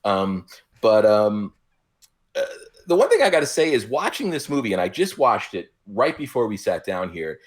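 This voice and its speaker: A man in his forties co-hosting a casual podcast, explaining his points in a measured, thoughtful way. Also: fairly low pitch, big pitch swings. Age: 40s. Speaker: podcast co-host.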